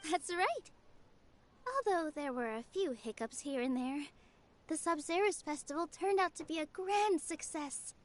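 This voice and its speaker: A woman voicing a gentle, cheerful young dancer in a video game, speaking in a warm, friendly way. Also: high-pitched, expressive, measured pace. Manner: warm, friendly, upbeat delivery.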